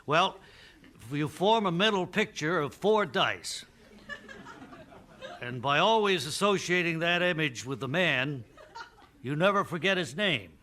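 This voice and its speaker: An earnest, self-assured memory expert, played by a man, explains haltingly in a deadpan radio comedy interview. The old recording is clearly noisy, with clicks. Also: on the high side, pitched above the performer's own voice.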